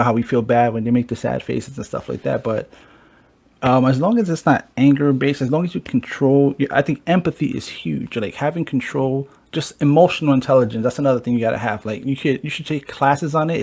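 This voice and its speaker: A man's voice: mid-range voice, fairly quick, creaky, darkish tone. A man hosting a casual podcast chat who talks in a loose way and swears freely. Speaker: podcast host.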